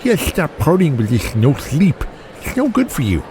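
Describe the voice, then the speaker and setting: A man voicing a dismissive, scolding wrestling boss in an audio drama, with exasperated, brisk delivery. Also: a little high, wildly swooping pitch, darkish tone, a little hiss or hum, voice pushed up.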